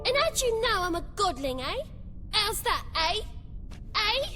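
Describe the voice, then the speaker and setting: A woman's voice: very high voice, very animated, measured pace, music in the background, full character voice. An actress playing a mischievous, cheeky little girl godling in a video game, playful and childish. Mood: cheeky, defensive. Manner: playful, cheeky, refers to self in third person. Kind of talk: video game character acting.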